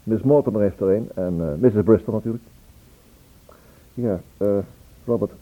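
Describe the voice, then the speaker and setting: A man acts a businesslike police inspector in a radio drama. The voice is low and husky, questioning in a measured, halting way. The old recording is thin and tinny. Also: natural voice. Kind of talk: Dutch radio play dialogue.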